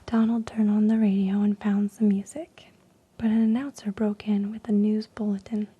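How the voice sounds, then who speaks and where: A woman reading stories in a soft unvoiced ASMR whisper, slow and hushed with frequent ums, while losing her voice. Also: medium pitch, flat delivery, breathy edge, phone-quality sound. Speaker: ASMR creator.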